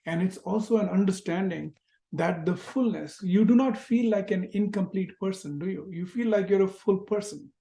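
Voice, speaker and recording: A man with an Indian accent giving a reflective spiritual lecture to an online class, asking gentle rhetorical questions. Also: sits high, normal speed, vocal fry. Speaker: teacher. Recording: roomy echo.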